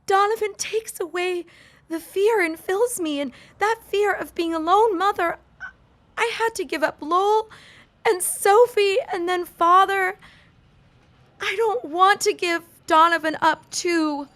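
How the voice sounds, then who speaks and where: An actress playing an anxious, fragile young woman in a period audio drama, halting and plaintive in her earnest delivery. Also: very high voice, fairly bright tone. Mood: pleading.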